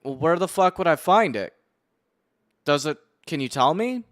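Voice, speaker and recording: A man's voice: a little high, animated, moderate pace. A young man streaming casually, cursing and muttering to himself as he works through a task. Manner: profane, muttering to himself while working. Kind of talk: casual live-stream commentary.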